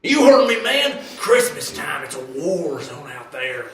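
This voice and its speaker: A very echoey live comedy sketch recording carries a shrill, frantic bystander, played by an actor. The delivery is bright and melodramatic, swinging widely as it mourns a fallen friend in grand declamation. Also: big pitch swings, a little slow. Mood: frantic, anguished. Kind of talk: live comedy sketch in old time radio variety style. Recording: echoey live room, distant mic.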